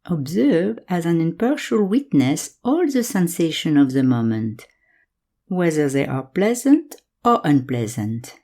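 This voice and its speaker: A woman guiding a yoga nidra meditation in calm, measured instructions, leaving pauses between phrases. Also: deep voice. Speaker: meditation guide.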